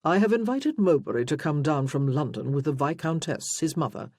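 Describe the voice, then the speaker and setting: A narrator playing a haughty aristocratic gentleman in a period romance audiobook. The delivery is formal, measured and aloof, with a husky grain and a dry, close sound. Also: medium pitch, lively intonation, no room sound. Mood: cool, aloof. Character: Wulfric, haughty, cool aristocratic head of family.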